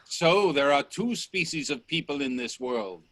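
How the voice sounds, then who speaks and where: A man playing a bold, inquisitive gentleman explorer in a radio play, speaking in deliberate, theatrical statements. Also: on the high side, animated, no echo. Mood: grim wonder. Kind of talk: radio play dialogue acting. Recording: faint noise on video-call audio.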